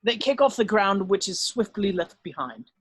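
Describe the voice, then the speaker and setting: A man's voice: high-pitched, lively intonation, moderate pace, fairly bright tone, breathy edge, no room sound. A man narrating scripted stage directions at an amateur drama table read.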